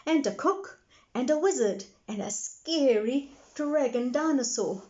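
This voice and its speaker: A woman voicing an eager, cheeky little girl pig who pleads and interrupts excitedly, in a children's storybook. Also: sits high, measured pace, dull audio, pitched above the performer's own voice.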